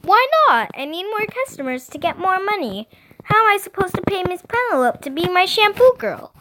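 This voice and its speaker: A woman's voice: very high-pitched, big pitch swings, normal speed, noisy background, voice pushed up. A woman voicing a bratty, theatrical little girl who plays hairstylist with a put-on French accent in a storybook. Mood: whiny, insistent. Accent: mock French.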